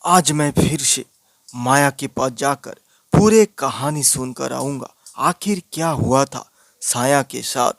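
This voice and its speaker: Man as slow-speaking earnest young villager Raju. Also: mid-range voice, vocal fry, a bit bright, dry recording. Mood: pensive. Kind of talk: cartoon horror story dialogue.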